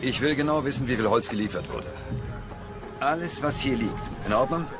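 Casting: man as bossy Roman official, mid-pitched, animated orders, loud music behind. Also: normal speed, close to an everyday voice. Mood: curt, demanding. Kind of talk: docudrama acting.

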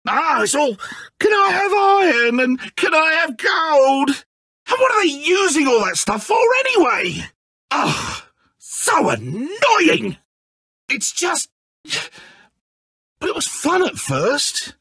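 A man's voice: very high voice, huge pitch swings, takes it slowly, bright, forceful tone, no echo, studio quality, exaggerated voice. An actor playing a petulant, needy, godlike male villain in a video game, with a British accent and a theatrical, sarcastic, stammering manner. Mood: bitter, complaining. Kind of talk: video game character acting.

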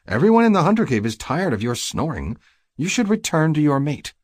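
A man's voice: on the high side, sing-song, fairly quick, no echo, studio quality, voice pushed up. A man voicing a bossy, blunt young sister in an audiobook, lecturing in stiffly formal phrases and commands. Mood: bossy, exasperated.

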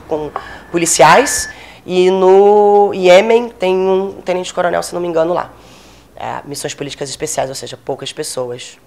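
A woman in her thirties from Brazil, a military officer giving a conversational lecture into a handheld microphone in a small room, with frequent fillers. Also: sits low. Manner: conversational, many fillers, gestures while speaking. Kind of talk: informal lecture. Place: small meeting room, indoors.

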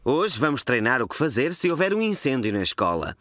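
A man's voice: mid-range voice, sing-song, brisk, a bit bright. A man with a European Portuguese accent playing a friendly, encouraging fire chief in a children's cartoon dub. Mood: friendly, instructive. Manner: cheerful, encouraging, explanatory.

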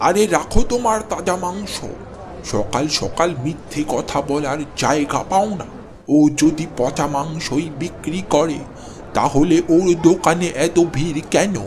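A man's voice: a little high, a little slow, noisy background, lightly acted. A man voicing a grumpy older customer. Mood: scoffing. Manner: blunt, scoffing. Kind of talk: cartoon voice acting.

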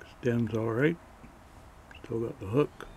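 Relaxed man showing off toy finds. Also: mid-range voice, a little hiss or hum. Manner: relaxed, matter-of-fact, pausing as he handles the toys. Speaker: toy collector showing flea market finds. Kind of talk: casual show-and-tell narration.

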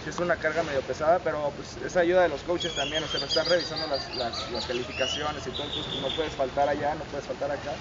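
A young man with a Mexican accent, talking in a relaxed, joking way. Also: fairly high pitch, quick pace, hardly any pauses. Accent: Mexican Spanish. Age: young adult. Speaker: football player. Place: outdoors on a campus. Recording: dull lo-fi sound, loud outdoor noise.

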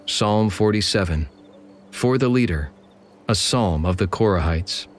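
A man's voice: fairly low pitch, expressive, a little slow, slight background noise. A man making scripted title announcements for scripture readings.

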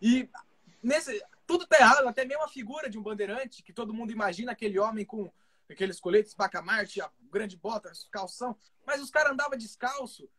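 Polite male radio host, high voice. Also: a little fast, sharp tone. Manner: affirming, polite, explanatory. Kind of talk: live interview over a video stream.

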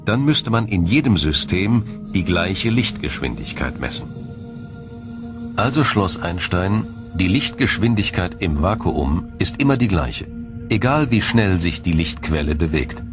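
Low-voiced man narrating a documentary over music. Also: sing-song, unhurried. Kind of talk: scripted German science documentary narration.

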